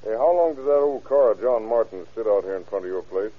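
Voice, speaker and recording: A low, husky American man's voice on a muffled old radio recording. The actor plays a shrewd lawman, dry and persistent in his questioning, in classic radio crime drama style. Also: quick pace, close to an everyday voice. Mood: probing.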